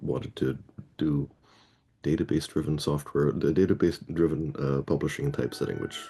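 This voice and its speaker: Male interview guest, middle-aged, from Toronto; rumbling bass voice, dark tone, chatty and digressive. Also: steady tone, moderate pace, breathy edge. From Canada (Toronto). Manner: rambling, anecdotal, often rephrasing mid-sentence. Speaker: guest, head of a Scrabble players association. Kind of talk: casual interview storytelling.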